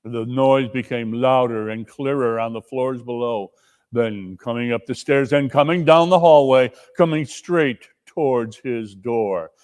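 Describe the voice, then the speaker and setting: A man narrating a classic story in a deliberate, suspenseful dramatic reading. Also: medium pitch, darkish tone. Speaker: narrator and performer.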